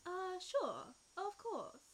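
A woman's voice: very high voice, wildly swooping pitch, extremely slow, very noisy, full character voice. A woman playing an anxious, secretive and polite client in a noir radio drama, with a fretful manner. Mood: polite, hesitant. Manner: fretful, breaking into pleading.

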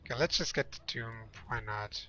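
A man's voice: mid-range voice, normal speed, sharp tone. A young man casually chatting with viewers while playing a strategy game, rambling and laughing easily. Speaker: game streamer. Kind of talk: casual let's-play chat with viewers. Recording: slightly dull lo-fi sound with game music.

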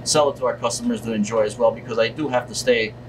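A man in his thirties answering interview questions casually and haltingly, as a deli owner. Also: medium pitch, fairly even intonation, crowd noise. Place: indoors in a deli, seated at table. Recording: distant mic, loud hum of air conditioning.

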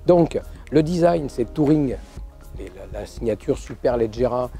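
Hoarse older man hosting a car show, warm low tone, chatty and halting. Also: lively intonation, soft background music. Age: 60s. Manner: hesitant, many fillers and restarts. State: hoarse from laryngitis. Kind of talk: casual storytelling to camera.

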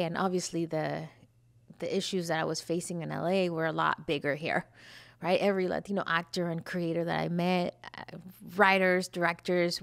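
A woman in her forties who grew up in Venezuela, talking as a guest in a podcast studio on a desk mic, animated and quick to laugh. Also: fairly low pitch, gravelly. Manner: animated, laughs often, acts out quotes. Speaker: film studio founder, guest. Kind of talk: conversational interview. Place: podcast studio with a long wooden table. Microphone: desk mic on a stand.

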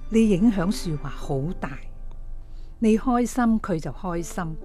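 An elderly mother-in-law in a radio play, with a very low voice and very unhurried delivery. She is gentle, accepting and reassuring, over faint music. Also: animated. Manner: slow, measured, reassuring. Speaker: radio drama actor. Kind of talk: radio drama acting.

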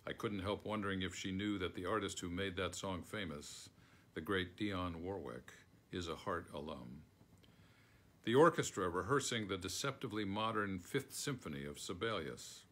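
An older man with an American accent reads a prepared text aloud. His voice sits low, and his delivery is measured. It is recorded indoors on a phone, as if at home. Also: animated, a bit bright. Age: older, around 70. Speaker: foreword author reading aloud. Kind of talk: reading a written foreword aloud. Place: book-lined room at home, indoors.

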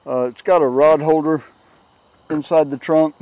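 A middle-aged man giving a casual, unscripted product walkthrough outdoors on a camera mic, halting and repeating words as he goes. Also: a little high, fairly even intonation, mellow, muffled recording. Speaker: product reviewer, kayak owner. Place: outdoors on a grassy yard. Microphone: handheld camera's built-in microphone.

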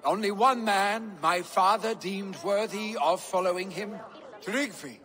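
A brash young Viking warrior from a video game drama. His voice sits a little high for a man and moves slowly and deliberately. He speaks with earnest, heartfelt weight, like a jarl's son. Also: background noise. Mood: solemn, proclaiming.

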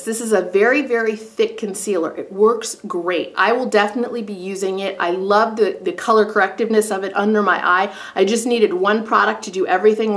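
A woman in her thirties with a cold and a congested voice, chatting casually through a beauty product review from a sunroom at home, speaking to a camera on a tripod, animated and full of self-deprecating asides. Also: medium pitch. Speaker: beauty vlogger. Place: bright sunroom at home, indoors. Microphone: camera on tripod facing her.

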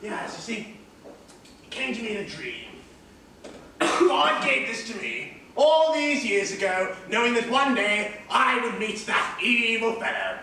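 A man's voice: very high-pitched, very animated, a little slow, pushed and bright, heavily acted. A man acting a formal, haunted old war veteran in a stage play, stammering, earnest and stiffly polite. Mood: solemn. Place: large theatre stage, recorded from far off. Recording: echoey distant theatre recording with hiss.

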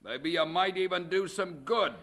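Gruff ship's captain for radio play: forceful, gravelly, grudging, plain-spoken. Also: male voice, high voice, normal speed. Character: gruff, grudging sea captain with rough grammar. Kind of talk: radio drama acting.

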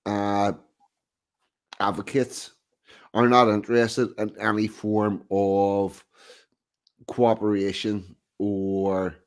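A man hosting a casual online video call chat, talking bluntly and emphatically. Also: on the low side. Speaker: host. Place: indoor room.

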